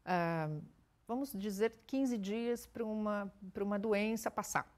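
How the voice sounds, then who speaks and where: Measured woman explaining voice care. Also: medium pitch, darkish tone. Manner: measured, explains with everyday examples. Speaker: speech therapist guest. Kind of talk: explanatory interview answers.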